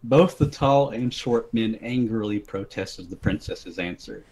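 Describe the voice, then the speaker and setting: A man's voice: on the low side, measured pace, a little mellow, poor audio. A man narrating an absurd comic story as a theatrical dramatic reading. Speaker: reader of the story.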